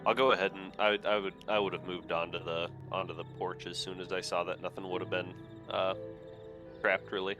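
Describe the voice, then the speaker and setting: A man playing a tabletop game, talking casually and haltingly with many fillers. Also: low voice, level tone, muffled recording, music in the background. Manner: hesitant, halting with "uh" and "kinda". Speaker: player.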